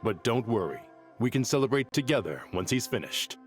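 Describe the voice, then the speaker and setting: A man voicing a calm, caring ranger mentor in a video game, measured and reassuring. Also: medium pitch, soft background music, close to an everyday voice. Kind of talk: animated video game voice acting.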